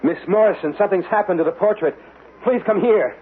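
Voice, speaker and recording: A man's voice: sits high, fairly quick, close to an everyday voice. An actor playing an earnest, alarmed man in a radio mystery drama, speaking with dramatic urgency. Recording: muffled, noisy old radio broadcast.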